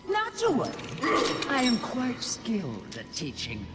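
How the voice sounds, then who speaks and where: A cruel female Fury with slow, menacing delivery and dramatic swings of pitch. She taunts theatrically like a game villain, over very loud music. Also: huge pitch swings. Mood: mocking menace. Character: sadistic Fury, cruel female tormentor goddess, taunting her captive. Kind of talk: dramatic video game cutscene acting.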